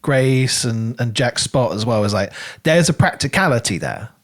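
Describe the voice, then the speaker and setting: A man co-hosting a podcast, talking through a book casually in an animated, rambling way as he thinks aloud. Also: mid-range voice, normal speed. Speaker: podcast co-host.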